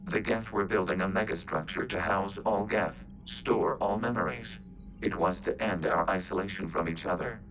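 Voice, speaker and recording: An actor playing a calm, logical machine intelligence in a video game, speaking in formal, detached phrases as a collective. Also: male voice, low-pitched, moderate pace, noisy background.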